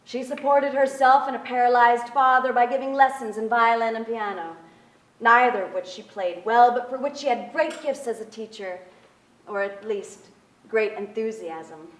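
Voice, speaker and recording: A woman's voice: on the high side, moderate pace, distant mic, close to an everyday voice. A young woman acting as a wistful narrator of a childhood memoir in a theatrical staged reading on a large stage. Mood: reflective storytelling. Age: young adult. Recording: echoey theater stage, miked from afar.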